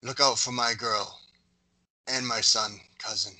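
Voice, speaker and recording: Guy reading a dying young greek man in a movie script, pleading, really sharp edgy voice. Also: mid-range voice, fairly even intonation, unhurried, poor audio. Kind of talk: screenplay table read.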